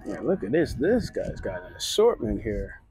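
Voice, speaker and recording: A middle-aged man gives relaxed, chatty walking commentary at an outdoor flea market, recorded on a handheld phone. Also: mid-range voice, normal speed, husky. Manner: relaxed, chatty, pointing things out. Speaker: vlogger. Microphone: handheld phone camera.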